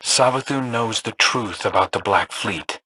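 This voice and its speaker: A man's voice: lowish voice, measured pace, bright, forceful tone, breathy edge, no echo, exaggerated voice, low-quality recording. An actor playing a brooding, earnest young male warrior with dry wit in a video game.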